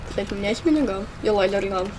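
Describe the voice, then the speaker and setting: A woman's voice: medium pitch, expressive, racing. A woman giving casual commentary over her own gameplay video, chatty and joking with ironic asides. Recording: loud noise under the voice.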